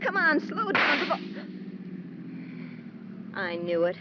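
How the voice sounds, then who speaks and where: A woman's voice: high voice, big pitch swings, slow, full character voice. An actress playing a sarcastic, tired young American college woman, wisecracking in exasperation in a 1940s-style radio drama. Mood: sarcastic, grumbling. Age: young adult. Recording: muffled, noisy vintage radio recording.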